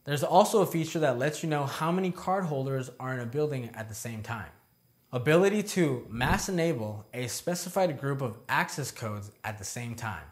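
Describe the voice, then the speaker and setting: A young man delivers scripted, informative narration as a video presenter. His pitch is average for a man, and he moves through his points in a structured way, setting off short headings. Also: animated, moderate pace. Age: young adult. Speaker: presenter of product review videos. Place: indoor studio with plain backdrop.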